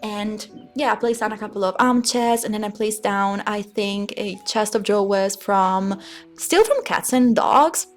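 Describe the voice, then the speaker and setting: A woman with an Italian accent talks through a game build in a loose, rambling voice-over, pausing often to hunt for the right English word. Her voice sits at a middle pitch. Soft music plays faintly beneath her. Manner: rambling, hesitant, searching for words. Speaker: Sims speed build creator. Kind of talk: casual voice-over commentary. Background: soft background music.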